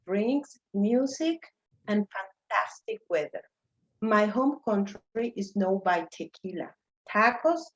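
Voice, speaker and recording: A woman's voice: mid-range voice, darkish tone, highs cut off. Mexican woman reading her story carefully. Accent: Mexican Spanish. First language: Spanish. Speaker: guest speaker. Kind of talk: prepared personal story.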